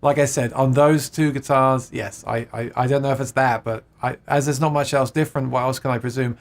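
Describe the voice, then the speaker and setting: A man talks loosely through a live chat stream, reading out comments from viewers. His pitch sits in the middle range, and he often laughs and breaks off to restart a thought. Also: steady tone, a little fast, vocal fry. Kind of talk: casual chat about guitars.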